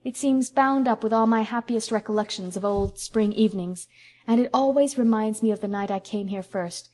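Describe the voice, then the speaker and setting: A woman's voice: mid-range voice, moderate pace, lightly acted. Dreamy young woman, gushy and poetic, read by a woman narrator. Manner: lyrical, warm and gushing.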